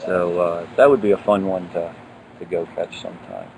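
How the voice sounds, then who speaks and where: A man's voice: low-pitched, steady tone, normal speed, vocal fry, noisy background. A man hosting a casual chat outdoors, easygoing and laughing, with many fillers. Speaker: host. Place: outdoors, windy.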